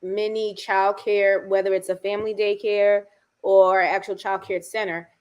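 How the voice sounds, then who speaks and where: A woman hosting a conversational interview, her voice a little raspy and partly lost from shouting, speaking informally and correcting herself. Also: medium pitch, little pitch movement, a little slow, highs cut off. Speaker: host, school educator and coach.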